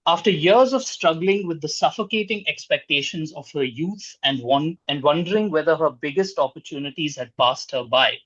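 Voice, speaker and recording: A man's voice: a little high, moderate pace, few pauses, fairly bright tone, no echo. A man acting as quiz master, reading out questions and scoring answers over a dull-sounding online call.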